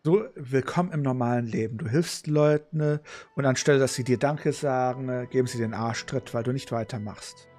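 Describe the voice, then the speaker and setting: A man's voice: medium pitch, fairly quick, few pauses, a little mellow. A man with a German accent giving casual, dry, grumbling let's play commentary as a gaming streamer. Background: game music.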